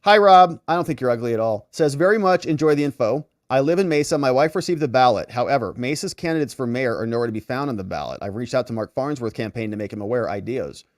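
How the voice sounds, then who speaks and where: A man's voice: mid-range voice, expressive, fast, hardly any pauses, a little mellow, no echo. Upbeat chummy male streamer, close dry sound. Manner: chummy, effusive, addressing viewers as friends. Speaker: host of a live political and legal commentary stream. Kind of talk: casual livestream sign-off, reading viewer messages and shout-outs.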